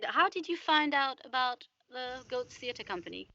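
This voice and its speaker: A woman interviewer speaking in a measured, polite way, putting prepared questions to a guest. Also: high-pitched, animated, bright, forceful tone, dull audio. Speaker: interviewer, theatre company artistic director.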